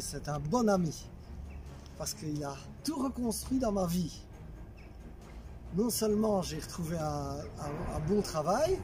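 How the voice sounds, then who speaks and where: High-voiced man sharing testimony over music. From Belgium. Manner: expressive, conversational storytelling with rhetorical repetition. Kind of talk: testimony told to camera with acted-out moments.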